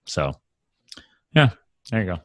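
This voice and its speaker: A man's voice: sits low, big pitch swings, unhurried. A man hosting a podcast, chatting casually and rambling about recording equipment. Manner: chatty, rambling, talks with many restarts. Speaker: podcast host.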